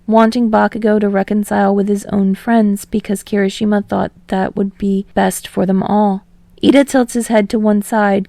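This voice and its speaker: Creaky-voiced woman narrating fiction. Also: mid-range voice, warm tone, dry recording. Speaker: audiobook narrator.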